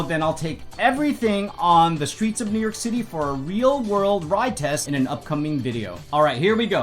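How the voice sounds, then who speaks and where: A man doing a casual unboxing vlog, chatting and thinking aloud with quick asides. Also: on the high side. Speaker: motorcycle vlogger. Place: indoor bedroom.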